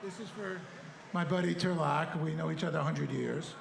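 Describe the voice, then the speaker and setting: A man's voice: fairly high pitch, normal speed, creaky, a faint crowd, slight background noise. A man hosting a live outdoor stage event, loose and joking as he hands out awards. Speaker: host.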